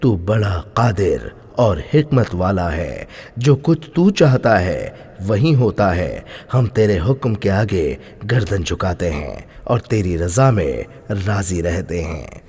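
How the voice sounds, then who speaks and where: Man voicing a humble, husky devout baker. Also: sits low, very animated, takes it slowly, few pauses, pitched below the performer's own voice, heavily acted. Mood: pleading, reverent. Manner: reverent, gentle. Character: devout, humble male baker, gentle and trusting. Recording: long hall-like reverb.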